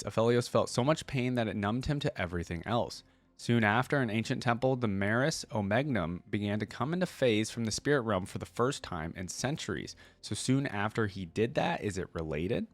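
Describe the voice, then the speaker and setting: A man hosting a reaction video, casually commenting and reading lore aloud, thinking out loud with informal asides. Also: fairly low pitch, dry recording. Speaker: YouTube reaction host.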